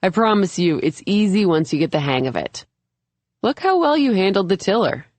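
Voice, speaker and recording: A woman's voice: medium pitch, sing-song, moderate pace, a little mellow, dry recording, voice pushed up. An easygoing man , as voiced by a woman narrator, coaches a beginner at sailing in audiobook dialogue. He is patient, encouraging and lightly teasing, and the sound is dry.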